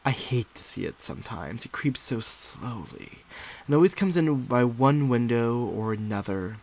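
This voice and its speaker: A man's voice: medium pitch, animated, a little slow, gravelly, a little mellow. A man voicing an anxious, secretive young wife in dramatic, theatrical first-person literary narration. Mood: uneasy, hushed.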